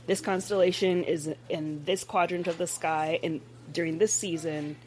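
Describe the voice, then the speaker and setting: A woman's voice: lowish voice, steady tone, normal speed, darkish tone, slight background noise, rough recording. A young adult woman co-hosting a casual chat, playful and sarcastic, laughing often. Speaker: podcast co-host.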